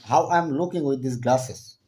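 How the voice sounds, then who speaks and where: A man's voice: medium pitch, a little slow, noisy background. A man from Bangladesh, flirting in broken English on a casual video call. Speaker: vlogger chatting with strangers.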